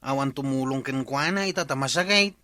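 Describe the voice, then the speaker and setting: An actor playing an earnest, naive, lovestruck single villager in a comedy radio drama. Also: male voice, medium pitch, a little fast, pushed and bright, no room sound, lightly acted. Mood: dreamy, hopeful.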